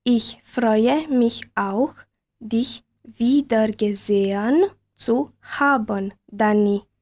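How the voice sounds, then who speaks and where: A woman reading a bilingual language-lesson dialogue and word list with careful, deliberate enunciation. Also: a little high, darkish tone.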